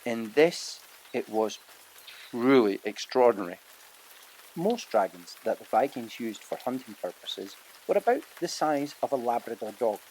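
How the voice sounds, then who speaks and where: A man narrating an audiobook in a dramatic storytelling manner, pausing for emphasis. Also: mid-range voice, sing-song, a little mellow, slight background noise, poor audio.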